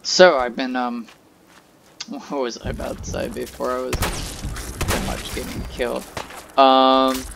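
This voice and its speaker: A man's voice: medium pitch, flat delivery, measured pace, creaky, long pauses, noisy background. A young man casually commenting while he plays a game, rambling and hesitant. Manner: rambling, hesitant, many fillers. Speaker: gaming video host. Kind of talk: casual let's play commentary. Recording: voice over game music.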